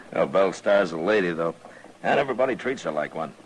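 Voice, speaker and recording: An actor playing a steady, authoritative frontier marshal in an old radio western, laconic, firm and dryly wry. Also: male voice, low-pitched, brisk. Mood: wry, amused. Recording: noisy vintage radio recording.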